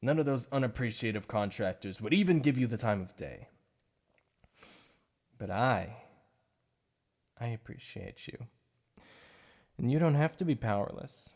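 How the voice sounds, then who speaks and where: Shy obsessive supervillain, male, a man acting it out; soft-spoken ASMR roleplay, stammers over slips, mid-range pitch. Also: expressive, a little slow, gravelly, pauses often. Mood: softly coaxing. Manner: flustered, stammering slips of the tongue.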